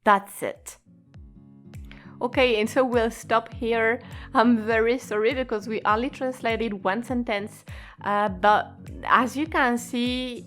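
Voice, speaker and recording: Slow, patient woman teaching a lesson. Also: on the high side, a bit bright. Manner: slow, patient explaining with drawn-out emphasis and light laughs. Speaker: Japanese language teacher. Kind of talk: explanatory online lesson.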